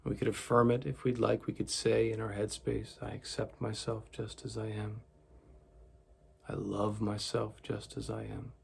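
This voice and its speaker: Low, gravelly man guiding meditation. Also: little pitch movement. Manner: gentle, soothing, deliberate. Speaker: meditation guide. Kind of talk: guided meditation narration.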